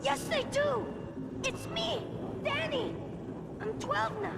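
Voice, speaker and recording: A woman voicing a twelve-year-old boy, brash and emotional, in an animated sci-fi drama. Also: extremely high pitch, takes it slowly, creaky, loud music behind the voice, full character voice. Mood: desperate pleading. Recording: loud score behind studio cartoon dialogue.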